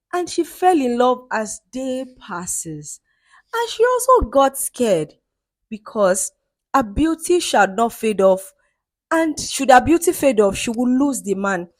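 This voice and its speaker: Animated woman telling a folktale. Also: on the high side, dry recording. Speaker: storyteller. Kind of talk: folktale narration.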